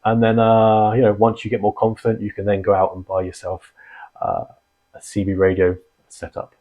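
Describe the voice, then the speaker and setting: Low-voiced man giving a casual explainer. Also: level tone, moderate pace, warm tone. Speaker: host of a radio hobby channel. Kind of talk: casual explainer talk to viewers.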